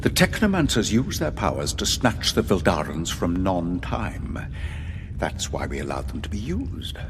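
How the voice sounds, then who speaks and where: A low-voiced, uneasy official. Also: male voice, wildly swooping pitch, measured pace, full character voice. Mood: defensive. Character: uneasy, evasive male official, pragmatic and deferential. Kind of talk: audio drama acting. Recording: long reverb, faint background noise.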